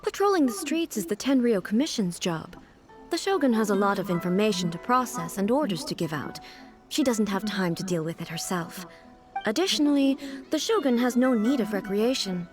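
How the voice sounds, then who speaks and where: Calm regal ruler lady, woman voicing her, formal and measured, pitch rising and falling a lot, music playing behind. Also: lightly acted. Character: regal godlike ruler, composed, curious about town. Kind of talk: video game voice acting. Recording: game audio with clear music bed.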